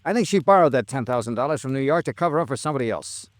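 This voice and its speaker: A hard-boiled American insurance investigator, played by a male actor in an old radio detective drama. He is terse and dogged, with a lively, swinging pitch range and a dry, close sound. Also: racing, dry recording, close to an everyday voice. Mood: coolly pressing. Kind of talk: 1950s radio detective drama acting, with first-person narration.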